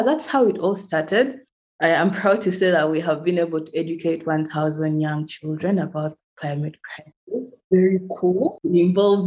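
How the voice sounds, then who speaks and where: A woman's voice: on the low side, a little mellow. Ugandan woman guest, young, earnest explainer, muffled lo-fi line. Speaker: guest, climate activist. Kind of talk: casual interview chat.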